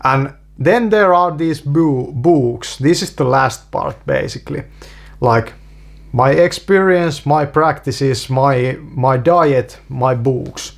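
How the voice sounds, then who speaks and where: A man from Finland with a Finnish accent, a native Finnish speaker talking in English, halting with many restarts and repeated fillers in a casual monologue. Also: medium pitch, vocal fry. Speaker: video creator.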